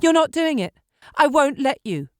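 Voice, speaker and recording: A woman's voice: sits high, very animated, normal speed, a little mellow, no echo, clean studio sound, voice pushed up. A woman narrator voicing a frantic, defiant woman in an audiobook, urgent and pleading. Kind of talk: audiobook character acting.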